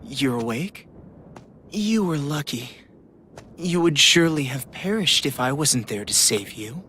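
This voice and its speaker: A man's voice: mid-range voice, lively intonation, measured pace, bright, forceful tone, slight background noise. An actor playing an eerily polite young man obsessed with hope, speaking in a theatrical, wistful way in a game cutscene. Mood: calm, detached. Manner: theatrical, wistful, formal wording. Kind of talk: video game cutscene acting.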